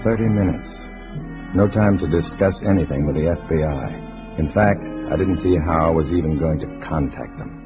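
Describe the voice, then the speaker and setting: An American man playing a tense undercover agent in a 1950s radio spy drama, terse and hard-boiled. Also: a bass voice. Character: tense undercover agent posing as a communist comrade. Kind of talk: 1950s radio drama acting and first-person narration. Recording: dull old radio recording with music.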